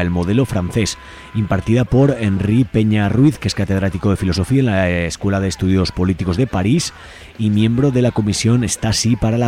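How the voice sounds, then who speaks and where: A man's voice: lowish voice, warm tone. A man hosting radio, reading out community event announcements in an informal, conversational way. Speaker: radio show host. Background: music.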